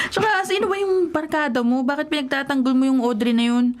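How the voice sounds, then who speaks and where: A woman's voice: sits high, a little fast, close to an everyday voice. An actress with a Filipino accent playing a proud, defensive young woman in a radio drama, bantering and in denial about her feelings. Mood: defensive, vain. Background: music.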